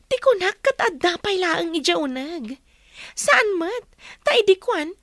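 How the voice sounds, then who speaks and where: Very high-pitched Filipino daughter. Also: female voice, very animated, a little slow, fairly bright tone, no echo, clean studio sound, exaggerated voice. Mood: questioning, insistent. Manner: questioning, insistent. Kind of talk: radio drama acting.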